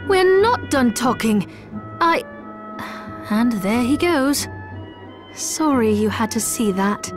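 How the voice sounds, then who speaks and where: A woman playing a courteous, loyal, gentle ally in a video game, with polite, formal speech. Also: fairly high pitch, expressive, slow, long pauses, music in the background. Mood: apologetic. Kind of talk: video game character acting.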